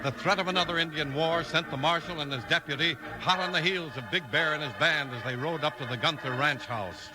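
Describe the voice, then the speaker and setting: A man's voice: on the high side, fairly quick, hardly any pauses, over music, poor audio. An American man announcing and narrating in 1950s radio style, with punchy, emphatic delivery.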